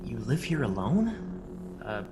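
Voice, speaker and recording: In long reverb and noisy ambience, a man acts a wary young hero in an audio drama. He is guarded and defiant, clipped and urgent, with a lively pitch. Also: slow pace. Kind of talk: fan audio drama acting.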